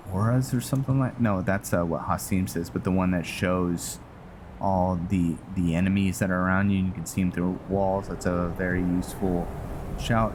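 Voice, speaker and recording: A man giving casual gameplay commentary, thinking aloud in a rambling, halting way. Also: low voice. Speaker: gameplay video host and role-player. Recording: clear background noise, game ambience. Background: noise and video game ambience.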